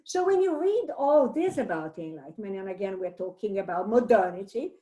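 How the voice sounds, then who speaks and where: A woman's voice: medium pitch, expressive, a little fast, mellow. A woman professor with a Brazilian accent leading a book discussion over a video call, explaining at length with frequent fillers and restarts. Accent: Brazilian Portuguese. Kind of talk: online book discussion lecture.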